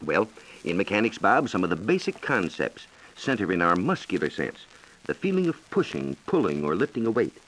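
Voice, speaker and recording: An American man explaining science in a measured, lecturing way, as a reporter in a scripted 1940s educational radio dialogue. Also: sits low, very animated, a bit bright, poor audio. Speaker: science reporter and guest expert.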